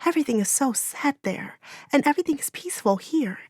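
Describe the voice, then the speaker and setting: A woman's voice: sits high, expressive, fairly quick, darkish tone, no room sound, voice pushed up. A woman voicing a grief-stricken, ashamed young village girl in an audiobook, with a tearful, pleading delivery. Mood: distressed. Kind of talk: audiobook character dialogue.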